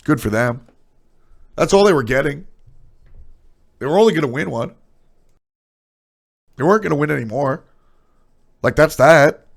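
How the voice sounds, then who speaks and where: Stuffed-up nasal man, gravelly. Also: fairly high pitch, animated, moderate pace, long pauses. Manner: blunt, opinionated, breaks off into trailing asides. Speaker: streamer discussing esports. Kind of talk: casual opinionated chat.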